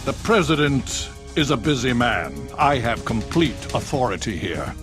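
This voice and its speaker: An actor voicing a cold, scheming male government official, with a condescending, smooth delivery. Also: medium pitch, very animated, unhurried, music in the background. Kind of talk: video game cutscene.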